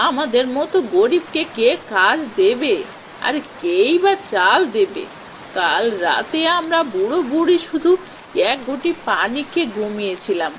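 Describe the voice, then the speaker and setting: A woman voices an elderly, poor village aunt in a cartoon tale. Her fairly high voice is motherly and careworn, given to lament, with clear rain noise around it. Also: a bit bright. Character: poor old village aunt, kindly and weary. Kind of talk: Bengali moral-story cartoon voice acting.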